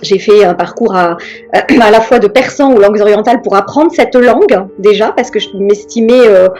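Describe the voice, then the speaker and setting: A middle-aged woman from France talks slowly and reflectively as an interview guest, often pausing and starting over. Her voice is mellow and sits in a middle register. Faint music plays in the background. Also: mid-range voice. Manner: hesitant, many pauses and restarts.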